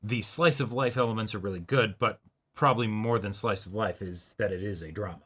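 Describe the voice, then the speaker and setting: A man with a bright, forceful voice pitched a little low chats as co-host of a reaction show. His delivery is casual and conversational. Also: fairly quick, husky. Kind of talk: casual discussion.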